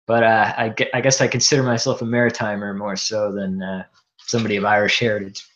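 A man from Newfoundland, Canada, a guest in a casual interview, speaking haltingly with stammered restarts. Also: lowish voice, level tone, fairly bright tone, dull audio.

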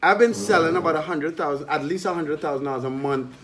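Slightly high-voiced man telling his story. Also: quick pace, crowd noise. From Trinidad and Tobago. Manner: candid, informal. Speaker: interview guest, online entrepreneur. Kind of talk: casual conversational storytelling.

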